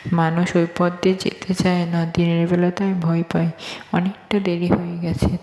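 A woman's voice: fairly low pitch, darkish tone, a little hiss or hum, lightly acted. A woman playing a fussing, affectionate village mother in an amateur cartoon dub. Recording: echoey, long reverb.

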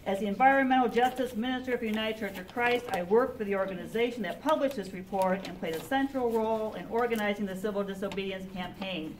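A middle-aged woman, a chaplain and activist, giving emphatic, deliberate public testimony at a meeting. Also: mid-range voice. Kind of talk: public testimony, reading a statement and appealing. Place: public library meeting room, indoors. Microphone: camera a few metres away. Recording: echoey meeting room, distant camera, faint noise.

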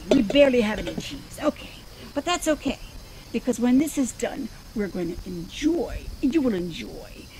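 A woman's voice: mid-range voice, creaky, background noise. An older woman playing a genteel elderly zombie woman hosting a cooking show outdoors, prim and chatty, wandering off into digressions. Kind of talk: improvised comedy sketch. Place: outdoors in a backyard.

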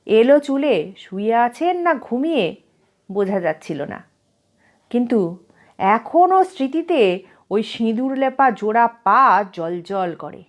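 A woman storyteller reading a literary short story aloud in an expressive narrating style. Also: on the high side, slow, pauses often.

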